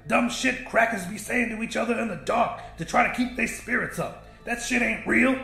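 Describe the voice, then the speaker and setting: A man's voice: extremely high pitch, moderate pace, vocal fry, pushed and bright, reverberant, soft background music, voice pushed up, heavily acted. A man voicing a big, angry, foul-mouthed cook with a scornful, slangy drawl in an audiobook story. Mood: exasperated, loud.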